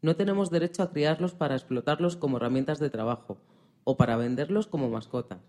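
A man's voice: a little high, quick pace, darkish tone. A man presenting on a radio program, reading scripted narration.

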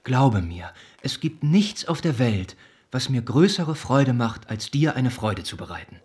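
An actor playing a kind, earnest young gentleman in a radio play, speaking gently and formally. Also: medium pitch, big pitch swings, a little slow, breathy edge. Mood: tender, earnest. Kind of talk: German radio play acting. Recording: studio radio play with music underneath.

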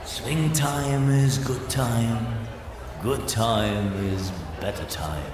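A man's voice: mid-range voice, lively intonation, very slow. An actor playing a jovial, corny male dance-band leader making showy stage announcements in a radio play. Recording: echoing hall with loud crowd noise.

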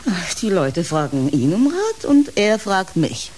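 A woman's voice: fairly low pitch, huge pitch swings, measured pace, a little mellow, slight background noise. An actress playing a blunt, motherly old household confidante in a German radio play, dry and teasing.

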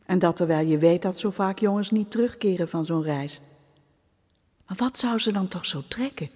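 Wry, languid city lady, lowish breathy voice, hall echo. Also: moderate pace, darkish tone. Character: bored, wry upper-class city lady.

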